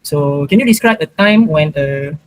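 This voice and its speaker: A man's voice: on the high side, animated, normal speed, dry recording, low-quality recording. A young man with a Malaysian accent asking a formal prepared question as a student interviewer. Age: young adult.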